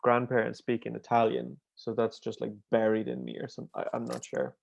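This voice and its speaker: A man co-hosting a livestream in casual chat, talking slowly and rambling with many fillers over a video call. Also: medium pitch, flat delivery, gravelly, lo-fi sound. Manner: slow, meandering, lots of "like" and restarts. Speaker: co-host of a translation livestream.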